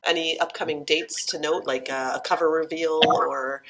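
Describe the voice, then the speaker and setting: A woman's voice: sits low, steady tone, normal speed, heavy background noise. A woman asking questions as an interviewer in a casual, conversational style.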